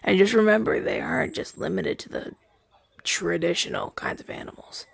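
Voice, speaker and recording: A man voicing a gentle, wistful demon familiar in an ASMR roleplay, soft-spoken and hesitant, in a breathy, husky half-whisper. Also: sits high, normal speed, full character voice. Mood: encouraging. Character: gentle, wistful male rogue demon familiar left masterless. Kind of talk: ASMR roleplay audio drama, softly spoken to listener.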